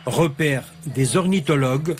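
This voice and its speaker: A man narrating a TV news report in a steady, formal way. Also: fairly high pitch, a little slow. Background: faint music.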